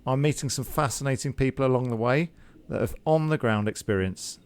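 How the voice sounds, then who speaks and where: A man hosts a podcast interview in a fairly low-pitched voice. He delivers a prepared welcome, then asks his questions with frequent ums and repeated words. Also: darkish tone. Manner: scripted intro, then hesitant questions with repeats. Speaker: podcast host.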